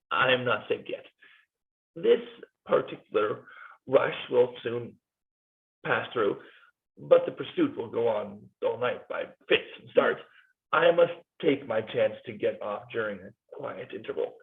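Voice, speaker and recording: A man's voice: expressive, measured pace, creaky, long pauses. A man voicing a weary, jittery Swiss mercenary soldier in a cold-read play reading over a video call, wry and pleading, his voice jumping up in sudden leaps. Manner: nervous, wry and pleading, with jumpy pitch leaps. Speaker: cast reader in a play reading. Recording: tinny phone-like video call audio.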